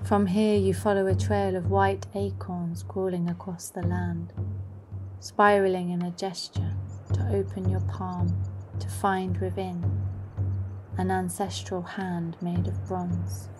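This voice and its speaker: A woman narrating a scripted guided meditation in a deliberate storytelling manner. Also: lowish voice, little pitch movement. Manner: slow, deliberate storytelling, at times dropping into whispers. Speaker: guide of a meditative sound journey. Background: music.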